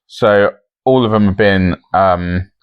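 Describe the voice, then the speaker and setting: Low-voiced man hosting a podcast. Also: slow, lo-fi sound. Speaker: podcast host. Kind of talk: casual chat and commentary.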